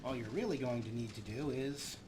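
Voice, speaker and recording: A man gives let's play commentary on a video game as its host. His voice sits at a medium pitch, and loud game ambience runs behind him. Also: fairly quick, background noise.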